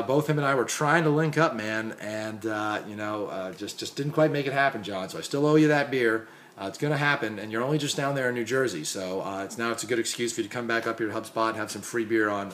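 Hoarse young male consultant, tired, casual chatty shout-outs, lowish voice, faint background hiss. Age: young adult. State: hoarse and exhausted. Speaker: marketing consultant. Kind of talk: casual conference recap vlog. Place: small dim room indoors.

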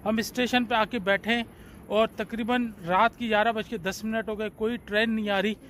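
A man in his forties vlogging to his phone camera on an outdoor train platform at night, in a chatty, relaxed way. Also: high voice, moderate pace. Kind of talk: casual vlog narration. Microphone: phone at arm's length. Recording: faint outdoor background noise.

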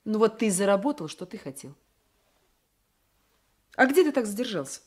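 An actress playing a scolding, practical young peasant wife in a 1980s Soviet radio drama, reproachful and sighing, on a noisy old recording. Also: female voice, mid-range voice, very animated, moderate pace, a little mellow, breathy edge.

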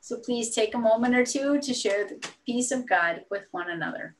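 A middle-aged woman pastor leading an online worship service from a small room at home, speaking gently and encouragingly over a video call. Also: on the high side, lively intonation, moderate pace.

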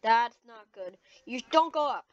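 An excitable gamer improvising a casual, dramatic video game roleplay. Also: mid-range voice, unhurried. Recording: dull lo-fi mic with clear background noise.

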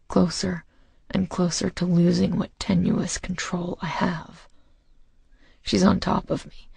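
A man narrating a romance audiobook in the first person, intimate, hushed and intense, with deliberate phrasing. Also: high voice, dry recording. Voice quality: husky, breathy, gravelly.

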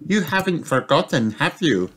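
A man voicing an excited, eager little girl pig in a children's storybook. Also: a little high, moderate pace, voice pushed up. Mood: pleading. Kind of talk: children's storybook read-aloud.

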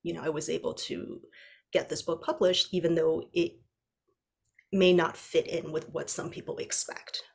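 A woman's voice: low-pitched, flat delivery, normal speed, gravelly, slightly rough. A woman author on a panel, speaking thoughtfully and carefully over a video call from a home study. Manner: thoughtful, hedging. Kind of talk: casual panel talk.

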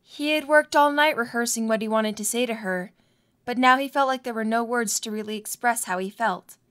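Woman, high voice, narrator, scripted fan audio drama storytelling. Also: fairly quick, fairly bright tone.

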